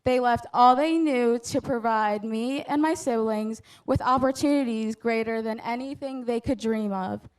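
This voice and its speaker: A young woman graduating from high school, delivering an earnest, prepared commencement speech at a measured pace. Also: rough recording. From United States. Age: young adult. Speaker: graduating student speaker.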